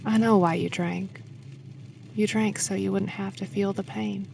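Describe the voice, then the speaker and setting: A woman's voice: medium pitch, fairly even intonation, unhurried, vocal fry, dark tone, slight background noise. A woman playing an older wife and grieving mother, frank and consoling, in an audio drama. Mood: sombre, consoling.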